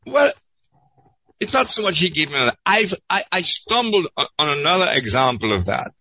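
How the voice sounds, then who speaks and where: A man telling an anecdote in an interview, halting and repeating words as he searches, then landing them emphatically. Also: fairly high pitch, very animated, bright, forceful tone, poor audio. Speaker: podcast guest.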